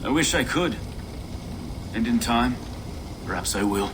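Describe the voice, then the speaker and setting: An actor playing a doubtful Saxon ally in a game, hesitant and regretful. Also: male voice, mid-range voice, animated, a little slow, background noise, natural voice. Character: doubtful Saxon ally torn over the battle.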